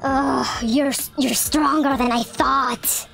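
Someone voicing a whiny, creaky injured villain. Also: high voice, steady tone, fairly bright tone, soft background music, heavily acted. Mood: pained, annoyed. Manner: drawn-out groaning, halting. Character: whiny injured would-be villain thief, defiant and sulky. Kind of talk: improvised video game roleplay.

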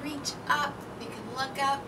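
A woman in her thirties hosting an interactive storytime for young children, animated and deliberate, recorded outdoors at a distance from the microphone. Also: high voice. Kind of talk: interactive picture-book read-aloud for young children. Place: outdoors on an apartment balcony. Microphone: camera microphone a few feet away. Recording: outdoor balcony, distant mic, ambient noise.

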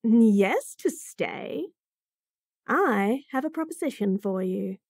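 A woman's voice: a little high, lively intonation, takes it slowly, a little mellow, dry recording, clean studio sound. A woman voicing a bratty, sassy bunny-girl chef in a fantasy roleplay audio, teasing and talking straight to the listener. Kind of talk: F4M roleplay audio, acted monologue.

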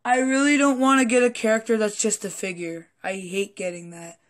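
Hoarse, slightly sick woman toy vlogger; rambling, hesitant, casual cursing; close phone recording at a home table. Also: medium pitch, fairly even intonation, brisk. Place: indoors at a wooden table in a home room.

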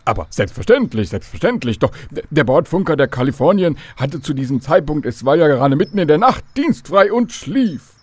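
A man's voice: on the high side, very animated, a little fast, slightly rough, no echo. A man playing a knowledgeable storyteller who explains history precisely to children in a scripted radio drama. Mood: informative.

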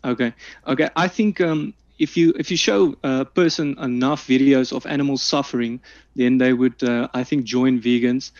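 Afrikaans-speaking man, halting debate speech. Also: medium pitch, steady tone, dry recording. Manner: halting, many fillers and restarts. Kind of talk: online debate opening statement. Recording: dull, slightly lo-fi dry sound.

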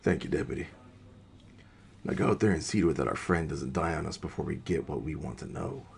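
An actor playing a sardonic, menacing police captain in an audio drama, with a gravelly rasp and a taunting, deliberate manner. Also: male voice, very deep voice, expressive, slight background noise, full character voice. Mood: cold menace.

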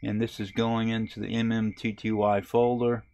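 A man hosting a ham radio tutorial, talking through a software setup in a relaxed, halting way with frequent fillers. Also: fairly low pitch, lo-fi sound. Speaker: ham radio video host. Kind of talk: casual screen-recorded tutorial. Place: camper.

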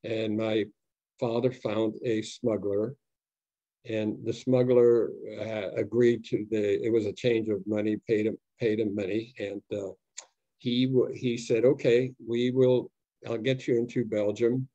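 An older man from Austria telling his story to students in an unscripted, halting way, with many fillers and restarts, on a video call. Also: lowish voice, flat delivery, mellow, dry recording. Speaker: Holocaust survivor speaking to students. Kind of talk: unscripted testimony talk. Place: home room on a video call. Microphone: video call webcam. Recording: dull, slightly lo-fi video call audio.

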